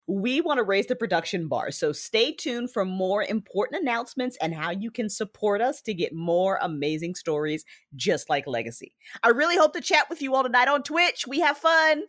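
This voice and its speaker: A woman's voice: medium pitch, very animated, normal speed, few pauses, fairly bright tone. A woman speaking as a podcast creator and host, giving announcements to listeners in a partly scripted, partly casual style.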